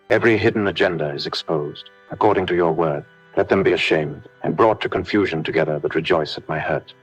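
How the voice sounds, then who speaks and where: Male, very low register with some rasp, delivering emphatic scripted prayer declarations in a solemn manner. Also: rough recording. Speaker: prayer leader. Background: faint background music.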